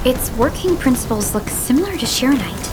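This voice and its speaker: A high, bright-spirited young woman investigator in a video game. She is expressive and talkative, with big swings in pitch. Prominent music plays behind her. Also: a little fast, rough recording. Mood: thoughtful. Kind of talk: animated video game voice acting. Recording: loud music behind the dialogue.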